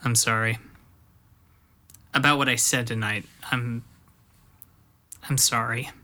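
A man's voice: mid-range voice, flat delivery, slow pace, bright, forceful tone. A man acting a remorseful young cousin in an audio drama, speaking in a frank, conciliatory way.

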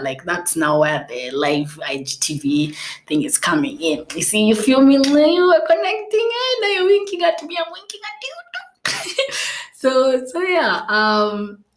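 A young woman whose native language is Swahili, hosting a casual solo podcast, chatty and playful with frequent laughs, fillers and restarts. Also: lowish voice. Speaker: podcast host.

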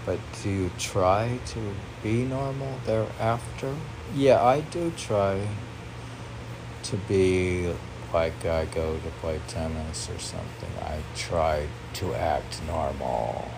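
Slow, gravelly-voiced man musing aloud. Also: deep voice. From United States. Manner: muses aloud, questioning and answering himself. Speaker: poet reading his own work as a podcast. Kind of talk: rambling self-questioning monologue. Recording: noisy room with air-conditioner hum.

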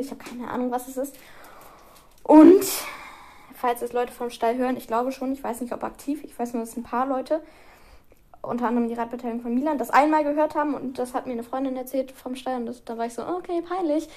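A woman's voice: on the high side, darkish tone. A teenage girl chatting casually to her viewers, rambling and giggling often, with frequent restarts. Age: teens. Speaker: vlogger. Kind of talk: casual chat to camera.

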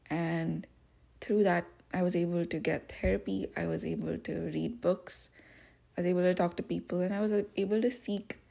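A woman's voice: lowish voice, vocal fry, dark tone. A woman hosting her own podcast, talking alone in a casual, reflective way that rambles with many fillers. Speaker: podcast host. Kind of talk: casual solo podcast talk.

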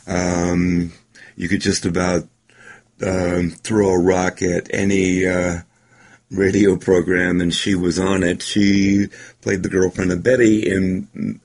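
A man hosting a podcast, giving an informal, halting introduction to an old radio show. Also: low-pitched, gravelly. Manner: halting, hesitant delivery. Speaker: podcast host.

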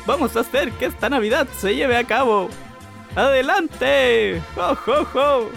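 A man acts out a studious, resolute underdog reindeer. His voice is extremely high for a man and crackles with creak, with a Chilean accent. Faint music plays behind this radio play performance. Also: animated, unhurried, fairly bright tone, full character voice. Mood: determined. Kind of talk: radio play character acting.

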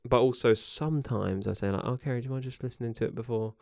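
A man with a New Zealand accent chats loosely on a home podcast, often correcting himself mid-sentence and trailing off. His voice is smooth and resonant, recorded close and dry. He keeps a relaxed, rambling conversational flow. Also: mid-range voice, fast, no room sound. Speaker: podcast co-host. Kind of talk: casual chat. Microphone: podcast mic.

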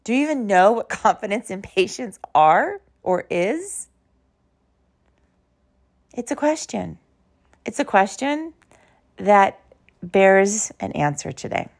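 A woman hosting a podcast in an informal, conversational and emphatic way, telling personal stories in a slightly hoarse voice. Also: mid-range voice, sing-song, takes it slowly, long pauses. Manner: conversational, emphatic, uses rhetorical questions. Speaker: podcast host.

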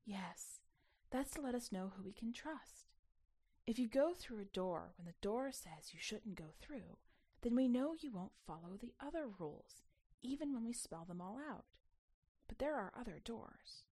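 A woman voicing a mysterious girl from another world, calm, measured and firm about rules, in a storybook reading. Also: on the high side, husky, no room sound, heavily acted. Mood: calm, inviting.

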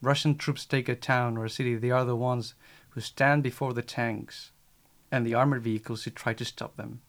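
A man's voice: medium pitch, fairly even intonation, moderate pace, creaky. A man speaking on a discussion panel in an earnest, insistent way, repeating his phrases for emphasis. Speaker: panel guest.

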